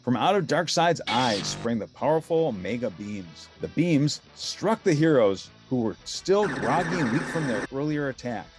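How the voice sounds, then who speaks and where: A male storybook narrator with a mid-pitched, animated voice. He reads adventure scenes with dramatic emphasis over a music bed. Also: moderate pace, few pauses.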